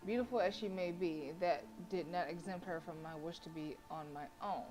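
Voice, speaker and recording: Lowish-voiced woman streaming a story game. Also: normal speed, darkish tone, faint music behind, low-quality recording. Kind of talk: reading visual novel narration aloud with casual reactions.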